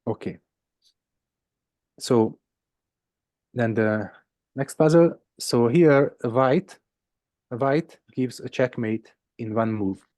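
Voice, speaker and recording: A man from Hungary with a Hungarian accent teaches a puzzle game informally. His mid-pitched voice is soft-edged and relaxed, recorded very dry. He patiently repeats and rephrases each point. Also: extremely slow, pauses often, no room sound.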